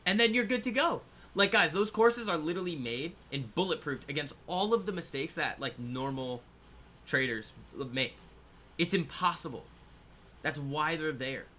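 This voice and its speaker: A man's voice: fairly high pitch, normal speed, pushed and bright, a little hiss or hum. A man in his twenties hosting a casual trading livestream, rambling and laughing as he reads viewer comments aloud. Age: 20s.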